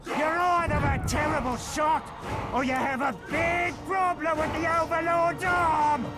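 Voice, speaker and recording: A man's voice: extremely high pitch, steady tone, measured pace. A man playing a mocking, sarcastic fighter in a video game. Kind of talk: video game character acting. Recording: loud music over the voice.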